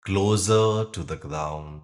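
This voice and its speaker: A man leading a guided yoga nidra meditation, speaking calmly and gently in short, unhurried phrases with pauses. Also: low voice. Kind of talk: guided meditation, slow instruction with long pauses.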